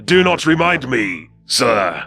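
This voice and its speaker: A man's voice: mid-range voice, sing-song, takes it slowly, pushed and bright, slightly rough, faint music behind, voice pushed up, exaggerated voice. A man voicing a dutiful male reptilian alien officer in an audio story, formal, deferential and stiffly literal. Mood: wary, earnest.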